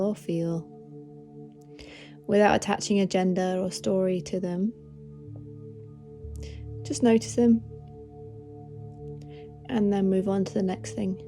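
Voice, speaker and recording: Female meditation host: relaxed, dark-soft timbre, very unhurried, soothing guidance over faint music. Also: medium pitch. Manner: gentle, soothing, unhurried instruction. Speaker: meditation guide, podcast host. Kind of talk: guided meditation.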